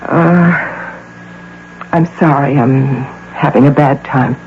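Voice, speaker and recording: A woman's voice: sits low, slow. Through a tinny, hissy old radio comes an imperious middle-aged woman executive. She is curt and proud, with intense, desperate feeling in the manner of a radio drama. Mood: brusque, weary. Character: imperious, high-powered businesswoman desperate over her missing imagined daughter. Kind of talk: 1980 radio drama acting.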